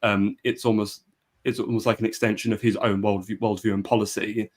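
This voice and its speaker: A man's voice: lowish voice, flat delivery, brisk. A man hosting a live history discussion, speaking with frequent hesitations and restarts. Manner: hesitant, many fillers and restarts. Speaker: podcast host. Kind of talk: casual live-stream discussion.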